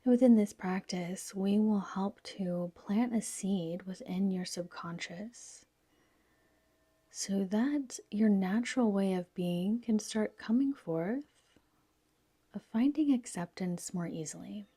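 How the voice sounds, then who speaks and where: A woman with a soft, whispery voice gently guiding a yoga nidra relaxation in a soothing, unhurried manner. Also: mid-range voice, slightly rough, no echo. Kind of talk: guided relaxation meditation.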